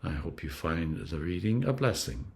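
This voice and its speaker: A man reading scripture from a script as a clergyman, with a deliberate, dramatic storytelling delivery. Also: deep voice, sing-song, gravelly.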